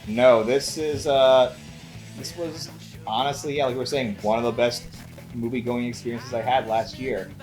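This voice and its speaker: A man's voice: medium pitch, fairly even intonation, normal speed, darkish tone. Male review co-host, conversational and dryly jokey, light music underneath. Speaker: co-host of a movie review show. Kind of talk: casual film review chat.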